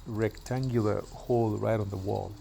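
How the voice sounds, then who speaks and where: Gravelly, dark-voiced Kenyan man vlogging. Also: on the low side, a little hiss or hum. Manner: relaxed, chatty, frequent filler pauses. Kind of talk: casual yard-work vlog narration. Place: outdoors in a yard.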